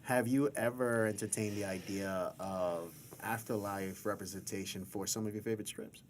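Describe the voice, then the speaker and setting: A man hosts a relaxed interview. He talks in a loose, rambling way and encourages his guest. Faint noise sits behind his voice. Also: lowish voice, moderate pace. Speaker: interview host. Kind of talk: casual interview chat.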